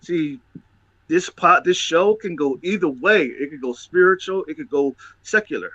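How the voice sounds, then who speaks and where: A man's voice: a little high, animated, moderate pace, pushed and bright, no echo, highs cut off. A man talking emphatically about faith as a host, often repeating words as he builds a point. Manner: preachy, emphatic, repeats himself. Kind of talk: casual chat.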